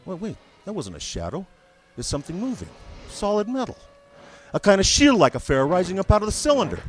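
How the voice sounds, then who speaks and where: A confident army captain on radio. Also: male voice, high voice, a little fast, husky, full character voice. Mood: alarmed. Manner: calm official tone rising into alarmed shouting. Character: male army signal captain, confident then panicking. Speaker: field reporter. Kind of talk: 1930s radio drama acting. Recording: old radio broadcast recording.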